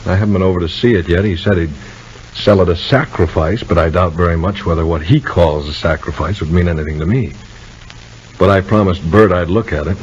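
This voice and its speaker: A man's voice: low voice, brisk, close to an everyday voice. An actor playing an easygoing, protective married man in a 1950s radio soap opera, talking in a folksy, conversational way. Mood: gently concerned. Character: Bill, easygoing married man and protective older-style brother. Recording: dull, noisy old radio recording.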